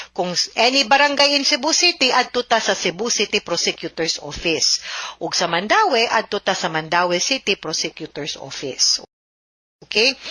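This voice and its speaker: A woman's voice: fairly high pitch, bright, forceful tone, no room sound. A woman, giving legal advice on a radio segment in an explanatory way and often checking understanding with a tagged question. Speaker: legal advisor answering listener letters.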